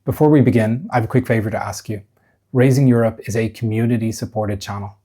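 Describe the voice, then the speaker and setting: A man with a fairly deep voice hosts an interview podcast. He is courteous and encouraging as he presents and puts questions, with some light music in the recording. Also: steady tone, quick pace, mellow, breathy edge.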